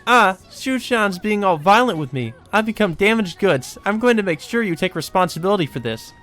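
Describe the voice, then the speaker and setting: A man's voice: high-pitched, expressive, fairly quick, a bit bright, voice pushed up. A man voicing a flirty, gushing, teasing woman from a visual novel. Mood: playful, teasing. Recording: music behind the voice.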